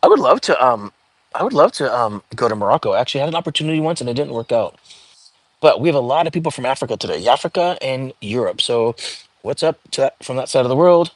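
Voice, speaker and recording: A man hosting a casual live stream, chatting and reading viewer comments aloud with lots of fillers and restarts, in a calming voice. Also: mid-range voice, fast talker, no echo. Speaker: livestream host.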